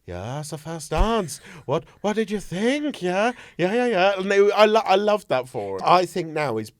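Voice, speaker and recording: A man's voice: high voice, very animated, normal speed, no echo. A young man hosting a podcast, chatting casually and joking around with silly impressions. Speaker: podcast host. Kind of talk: casual chat.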